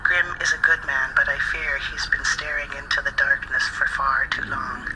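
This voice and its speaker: An actor playing a troubled scientist reporting grim findings, grave and urgent. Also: male voice, mid-range voice, level tone, moderate pace, very noisy, close to an everyday voice.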